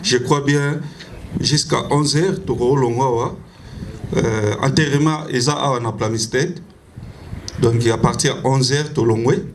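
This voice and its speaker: A man's voice: mid-range voice, measured pace, slight background noise. A man at a funeral casually announcing the schedule, slipping French words into his talk. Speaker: funeral master of ceremonies.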